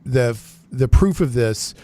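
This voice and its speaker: A man's voice: medium pitch, lively intonation, unhurried, dark tone. A man hosting a live geek talk radio show in a conversational, informal way.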